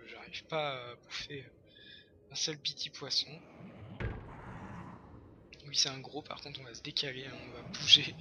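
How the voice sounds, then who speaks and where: A hoarse young man chatting casually over his own gameplay, excitable and full of fillers. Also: on the high side, a little hiss or hum. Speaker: gameplay commentator. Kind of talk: casual let's-play commentary.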